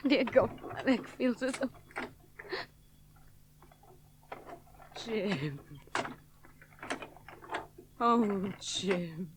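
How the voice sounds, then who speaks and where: An actress playing a woman aviator worn down by debt and a failing marriage, speaking in a brittle, sharp-tongued way that sinks into quiet despair. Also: mid-range voice, lively intonation, extremely slow, background noise. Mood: grieving. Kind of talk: 1970s radio drama acting.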